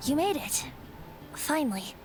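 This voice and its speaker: A woman voicing a whimsical, gentle boyish bard, a wind spirit, with lilting lyrical delivery in a video game. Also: high voice, sing-song, measured pace. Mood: playful. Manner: lilting, lyrical storytelling, theatrical verse. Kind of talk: video game character acting. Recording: game music under the voice.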